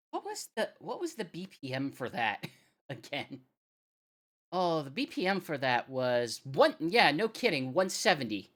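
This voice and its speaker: Female streamer, low lively voice, unhurried and self-deprecating, casual rhythm-game chat. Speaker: live music stream host.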